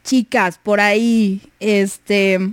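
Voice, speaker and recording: A woman's voice: mid-range voice, flat delivery, extremely slow, smooth, dry recording. A young Mexican woman hosting a livestream, chatting casually, reading messages and giggling often. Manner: giggly, chatty, many fillers. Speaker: livestream host.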